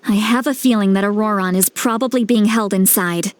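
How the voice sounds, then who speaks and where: A woman playing a caring, self-assured sage in a video game, with an assured, gently advising manner. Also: medium pitch, fairly quick, dry recording, natural voice. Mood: determined.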